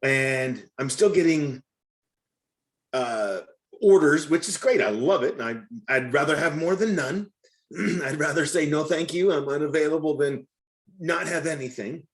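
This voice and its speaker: A man explaining himself in a halting, conversational way while asking a question on an online group call. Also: a little high.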